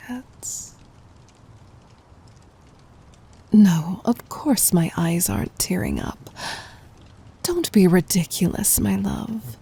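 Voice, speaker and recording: A woman playing a tender, possessive demon queen in an intimate ASMR roleplay, speaking in a soft breathy whisper with regal, formal endearments. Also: medium pitch, heavily acted. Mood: moved, flustered.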